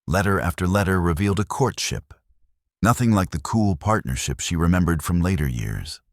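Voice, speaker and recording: A man narrating a romantic western story in a measured, earnest way. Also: low voice, animated, dry recording, clean studio sound. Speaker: audiobook narrator.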